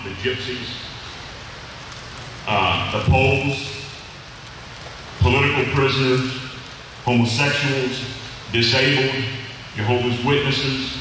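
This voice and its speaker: An older man, a retired history teacher, giving an earnest history lecture at a podium with a loud voice, many fillers and restarts. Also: mid-range voice. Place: large hall with a seated audience, in doors. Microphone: podium microphone, filmed by a far camera. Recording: echoey hall from far off, audible room noise.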